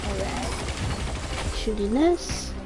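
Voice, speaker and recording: A woman's voice: mid-range voice, animated, extremely slow. A woman in her twenties giving casual gameplay commentary, rambling and restarting her sentences, her throat dry and a little scratchy. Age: 20s. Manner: rambling, trails off and restarts often. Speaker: gaming streamer. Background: game music.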